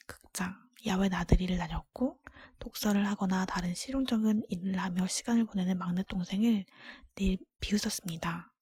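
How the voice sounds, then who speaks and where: A woman reading a fairy tale aloud for ASMR in a breathy, unvoiced whisper, gentle and measured, close to the microphone. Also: mid-range voice, no echo. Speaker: storybook reader. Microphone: close microphone, dry.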